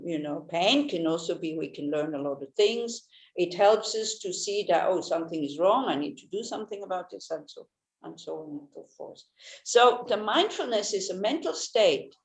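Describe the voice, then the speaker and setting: Swiss German woman teaching Buddhism, echoey room. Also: mid-range voice. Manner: gentle, searching for words, many restarts. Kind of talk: Dharma teaching talk.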